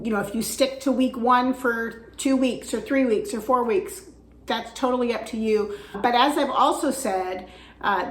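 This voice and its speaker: A woman vlogging about her weight-loss journey, chatty with frequent fillers, her voice weak from recovering from the flu. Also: medium pitch. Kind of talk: casual instructional vlog. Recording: echoey room.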